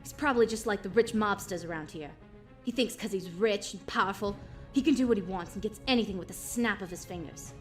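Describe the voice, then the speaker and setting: A woman's voice: mid-range voice, fairly quick, hardly any pauses, faint music behind, lightly acted. A woman voicing a defiant, wary young woman's inner thoughts in a comic dub. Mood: indignant, scornful.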